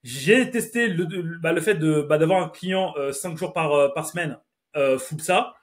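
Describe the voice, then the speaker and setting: A man's voice: fairly high pitch, normal speed. A man in his thirties hosting a podcast, chatty and slangy, often laughing. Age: 30s. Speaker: podcast host. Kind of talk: casual podcast chat.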